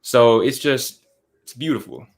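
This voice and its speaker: Host voice: relaxed, friendly man with a middle-pitched voice, chatting casually. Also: a little slow, vocal fry. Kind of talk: casual live-stream conversation.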